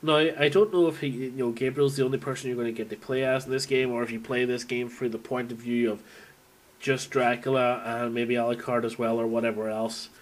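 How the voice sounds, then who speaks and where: A man's voice: medium pitch, steady tone, a little fast. A man giving a casual, unscripted monologue as a fan, rambling and thinking aloud as he goes. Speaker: video game fan recording an audio log.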